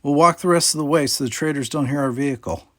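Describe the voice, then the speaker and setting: Guy doing nervous kid alien girl, storybook voice pitched way up, dry recording. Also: quick pace, dry recording, lightly acted. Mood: worried. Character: big-hearted, anxious young alien girl.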